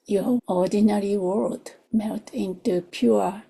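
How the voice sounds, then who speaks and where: A woman who is not a native English speaker leads a guided meditation in a soft, gentle voice, in short unhurried phrases that often drop toward a whisper. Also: medium pitch, steady tone, gravelly, husky. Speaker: meditation teacher. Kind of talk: guided meditation, slow and soothing.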